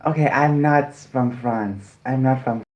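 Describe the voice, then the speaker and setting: Rambling livestreamer from South Africa with a dark voice. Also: male voice, mid-range voice, measured pace. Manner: chatty, rambling, apologetic. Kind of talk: casual livestream chat while eating.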